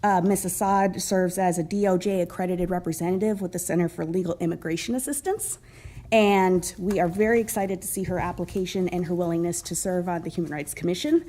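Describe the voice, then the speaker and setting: A woman's voice: lowish voice, fairly even intonation, fairly quick, warm tone. Friendly woman presenting at a council meeting. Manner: friendly, with frequent ums. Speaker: council member introducing appointees. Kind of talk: formal meeting introductions. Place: council chamber.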